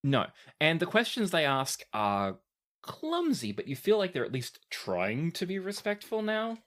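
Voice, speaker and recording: A game master narrating a tabletop fantasy game in a deliberate, descriptive storytelling manner. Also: medium pitch, huge pitch swings, a bit bright.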